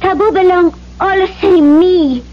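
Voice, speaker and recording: Dull vintage radio recording, devoted meek island girl, extremely high pitch, slow halting pidgin, sorrowful. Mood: meek, sad. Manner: simple halting pidgin phrasing. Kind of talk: old-time radio drama acting. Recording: muffled old radio broadcast.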